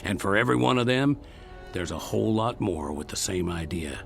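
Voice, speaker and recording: An actor playing a hardened Old West killer in a radio drama, gravelly, with rough, scornful cowboy speech. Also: male voice, lowish voice, lively intonation, brisk, fairly bright tone. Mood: bitter. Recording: music under the voice.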